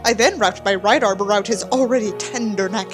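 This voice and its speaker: A woman's voice: medium pitch, brisk, music in the background. A woman giving a mock-dramatic reading of a book with theatrical, sarcastic delivery and candid asides. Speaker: host reading a book aloud with commentary.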